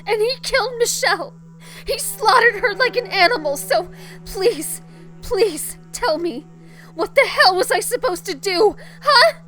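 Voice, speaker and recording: An actress playing a young rookie superhero, grieving and defensive, in a halting, emotional audio drama performance. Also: female voice, extremely high pitch, lively intonation, a bit bright, full character voice. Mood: anguished, pleading. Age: young adult. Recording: music behind the voice.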